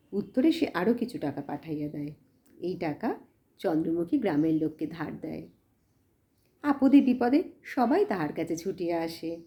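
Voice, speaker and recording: Mellow, lively-pitched woman storyteller. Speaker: audiobook narrator. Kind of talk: literary story narration.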